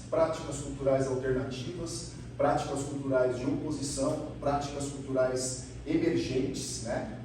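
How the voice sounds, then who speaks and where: A man's voice: a little high, dark tone. Super echoey room w noise behind, brazilian guy doing a professor style conference talk, explaining stuff, lots of little tag questions. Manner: explanatory, often ends phrases with a rhetorical "né" tag. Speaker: university professor presenting research. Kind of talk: academic conference presentation, semi-improvised. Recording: echoey room, distant mic, clear background noise.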